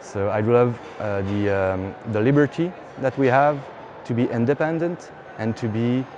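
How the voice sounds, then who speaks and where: A man in his thirties with a Quebec French accent, speaking English as a second language in halting sentences full of fillers, interviewed as a brewery founder. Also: mid-range voice, dull audio. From Quebec, Canada. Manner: halting, many fillers and restarts. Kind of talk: on-camera interview answer. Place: indoor beer festival hall. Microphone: lapel mic. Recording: hall noise behind.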